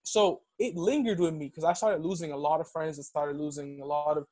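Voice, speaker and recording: High-voiced young man, rambling and animated. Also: fairly quick, no echo. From Dominican. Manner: animated storytelling, heavy use of "like". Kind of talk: casual conversation.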